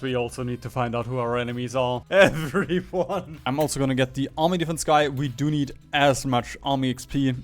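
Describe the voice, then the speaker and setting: A man commentating his strategy game play, animated and often laughing, sometimes swearing when plans go wrong. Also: fairly high pitch. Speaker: gaming YouTuber.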